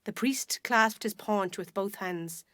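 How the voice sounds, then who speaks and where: A woman with an Irish accent narrating a literary short story in a measured, dramatic way. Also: medium pitch, fairly bright tone, dry recording, clean studio sound.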